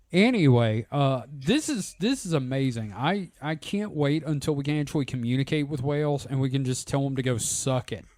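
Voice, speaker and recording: A man's voice: medium pitch, lively intonation, moderate pace, darkish tone, no echo, clean studio sound. A man co-hosting a casual podcast, laid-back and swearing freely. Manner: laid-back, crude jokes. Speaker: podcast co-host. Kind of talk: casual chat.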